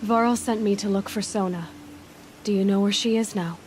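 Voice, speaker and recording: A woman's voice: mid-range voice, fairly even intonation, moderate pace, a little hiss or hum, close to an everyday voice. A woman playing a determined, observant young hunter in a video game, thinking aloud in a thoughtful way. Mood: direct, purposeful. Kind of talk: video game character acting, musing to herself. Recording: game audio with loud music behind.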